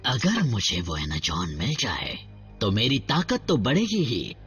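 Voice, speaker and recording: A cartoon robot villain, scheming and theatrical. The voice is low and swings hugely in pitch as it gloats, savoring every slow phrase. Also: male voice, huge pitch swings, fairly bright tone, slightly rough, soft background music, exaggerated voice. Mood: greedy, gloating. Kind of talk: animated series dub acting.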